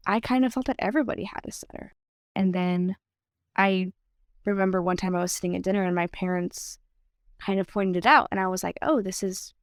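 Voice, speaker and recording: A woman's voice: fairly low pitch, no room sound, clean studio sound. A seventeen-year-old girl from Ohio with a mild stutter, telling her own story in a candid, reflective way. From Columbus, Ohio, United States. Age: teen, 17. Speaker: student storyteller. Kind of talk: personal narrated story.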